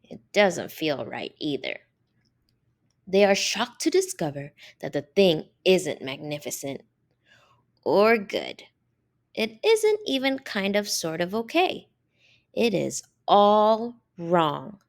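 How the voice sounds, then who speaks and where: Lady reading a kids picture book, slow and super expressive, bit of fry in her voice. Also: on the low side. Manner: animated, deliberate, drawn-out emphasis on key words. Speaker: storybook reader. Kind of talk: expressive read-aloud of a children's picture book.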